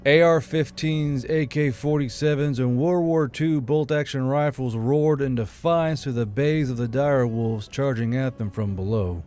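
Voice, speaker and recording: A man's voice: medium pitch, a little slow, few pauses, a little mellow, faint music behind. A man narrating an audiobook story in a dramatic, scripted style.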